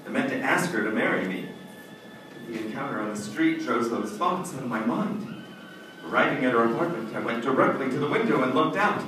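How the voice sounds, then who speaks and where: An actor playing a guilt-ridden, panicky judge turned murderer in old-time radio suspense, melodramatic and formal in phrasing. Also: male voice, medium pitch, animated, brisk, natural voice. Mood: haunted narrating. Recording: echoey hall from far off, faint noise.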